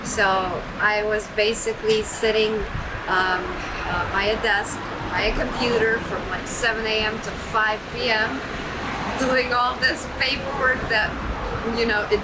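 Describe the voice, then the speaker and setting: Woman from Serbia, young adult, chatty interview guest, halting with fillers, loud steady noise behind. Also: fairly high pitch. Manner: chatty, self-deprecating, many fillers and restarts. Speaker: guest, trucking entrepreneur. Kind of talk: casual interview storytelling.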